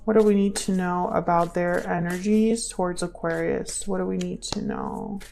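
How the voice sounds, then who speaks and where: A woman's voice: on the low side, steady tone, moderate pace, creaky, dark tone, a little hiss or hum. A woman hosting a tarot reading in a casual, intuitive style, thinking aloud and often repeating her questions and phrases. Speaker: tarot reader, channel host.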